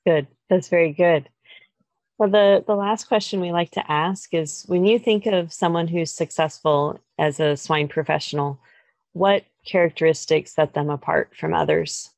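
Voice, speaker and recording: A woman hosting a podcast interview, asking questions in a measured, polite, conversational way. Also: fairly low pitch, creaky, darkish tone, no room sound. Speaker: podcast host.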